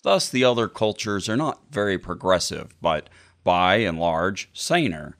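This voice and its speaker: A man co-hosting a podcast, reading a book aloud dramatically in a deliberate, mock-serious way. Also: sits low, expressive, a bit bright. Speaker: podcast host. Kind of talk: dramatic read-aloud of a book with casual asides.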